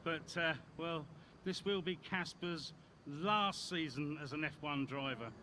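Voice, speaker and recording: Jovial male team boss, high voice, unhurried rambling speech, game drama, noisy. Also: pushed and bright. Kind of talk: after-dinner speech in game cutscene.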